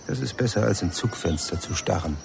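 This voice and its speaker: A man's voice: deep voice, expressive, brisk, vocal fry. An actor playing a reserved, middle-aged married man in a radio drama, bluntly honest and matter-of-fact. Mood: guarded, blunt. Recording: noisy ambience with insects.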